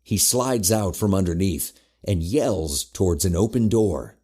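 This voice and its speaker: A man's voice: deep voice, expressive, a little slow, a little mellow. A man narrating a scripted audio drama as its storyteller.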